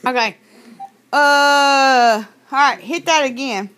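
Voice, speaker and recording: Brash, high-voiced woman prank caller. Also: takes it slowly, a bit bright. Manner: brash, swearing, interrupting. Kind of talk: prank phone call, confrontational banter. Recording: noisy home-made recording.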